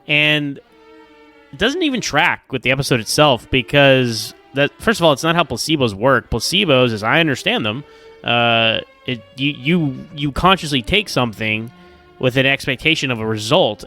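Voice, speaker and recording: A man's voice: mid-range voice, pushed and bright, clear, resonant tone. A man giving casual, rambling spoken feedback as a listener, in a conversational way.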